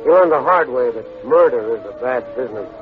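An actor playing a hard-boiled crime story teller, terse and dry, signing off a vintage radio detective drama. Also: male voice, mid-range voice, lively intonation, a little fast, natural voice. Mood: dry, inviting. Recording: tinny vintage radio recording with music.

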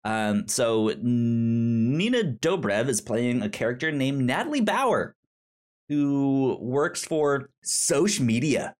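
A man co-hosting a podcast, casually discussing movies in a halting way, often repeating syllables and the starts of words. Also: mid-range voice, big pitch swings, darkish tone. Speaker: podcast co-host.

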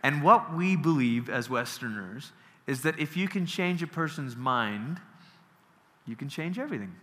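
Bright-voiced man lecturing in a hall. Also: a little high, lively intonation, moderate pace. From Malaysia. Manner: conversational, asks the audience questions, restarts, laughs easily. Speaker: workshop speaker. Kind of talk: casual lecture on theology.

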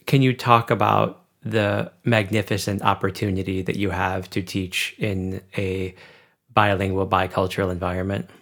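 A man's voice: low-pitched, flat delivery, normal speed, creaky, a bit bright. A man interviewing a teacher, asking questions in formal, elaborate wording.

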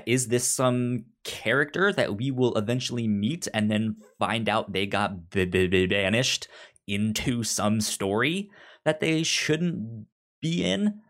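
Slow, halting low-voiced man. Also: a bit bright. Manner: halting, often stammering on words. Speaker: podcast co-host. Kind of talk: casual book discussion.

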